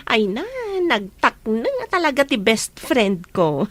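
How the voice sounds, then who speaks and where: Casting: actress for playful young Filipino best friend, swooping intonation, highish pitch, radio-style drama. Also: female voice, very animated, slow pace, full character voice. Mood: approving, friendly. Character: teasing, chummy young workplace best friend. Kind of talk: Filipino audio drama acting.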